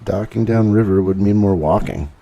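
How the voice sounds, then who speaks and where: A man playing a sensible, cautioning male privateer in an audio drama, measured in manner. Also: fairly low pitch, creaky, dark tone, muffled recording, a little hiss or hum. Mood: grumbling.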